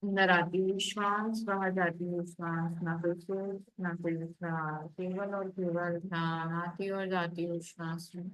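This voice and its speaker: A woman with an Indian accent leading a guided meditation, giving calm instructions. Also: lowish voice, a little slow, few pauses, low-quality recording.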